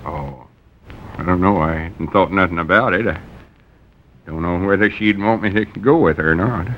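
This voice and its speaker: An actor playing a fussy, bashful old storekeeper, talking in a drawling, folksy way that gets easily flustered, in a vintage radio comedy. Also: male voice, low voice, moderate pace, a little hiss or hum. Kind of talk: 1930s-50s radio comedy acting. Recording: dull old radio broadcast recording.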